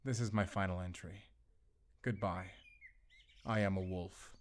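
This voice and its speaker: A man's voice: deep voice, steady tone, a little slow, slight background noise. A man voicing a murderous, misanthropic werewolf's journal as a solemn, ominous dramatic monologue in an audio drama. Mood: ominous, resolute. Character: male murderous werewolf diarist, brooding, fanatical, misanthropic.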